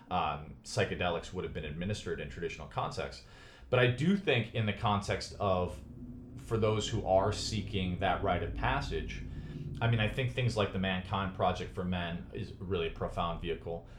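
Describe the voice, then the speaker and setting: A very low-pitched man in his forties, originally from Chicago, speaking as a guest in a long, reflective interview. He talks earnestly and thoughtfully, restarting his phrases as he reaches for the right words. His voice carries a roomy echo. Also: a little hiss or hum. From United States (Chicago). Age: 40s. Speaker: podcast guest.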